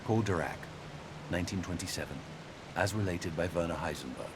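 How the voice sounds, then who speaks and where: A man acting as an earnest, measured debater in a game audio log, speaking deliberately and articulately. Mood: solemn, critical. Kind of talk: naturalistic game audio log dialogue and reading. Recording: steady rumbling background noise.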